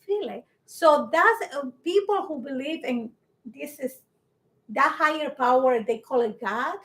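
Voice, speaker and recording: A woman's voice: high voice, a bit bright. A woman co-hosting a casual chat in English, which is not her first language, arguing earnestly and emphatically with frequent restarts. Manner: earnest, emphatic, halting non-native English with many restarts. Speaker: podcast co-host.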